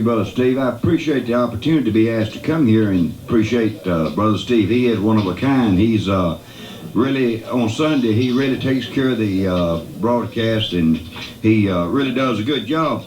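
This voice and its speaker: A man speaking as a Baptist pastor, giving informal, halting thank-you remarks. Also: on the low side, a little mellow. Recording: echoey room.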